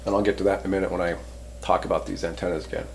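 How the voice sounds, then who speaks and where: A man presenting a product review in casual, unscripted talk, rambling conversationally and often pausing to rephrase himself. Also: deep voice, flat delivery, gravelly, a little mellow, slight background noise. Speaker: host reviewing CB radio antennas.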